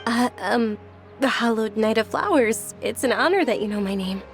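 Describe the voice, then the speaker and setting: Young noblewoman, polite, gentle, earnest, highish pitch, video game, background music. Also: lively intonation, normal speed. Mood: flustered. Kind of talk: video game character acting.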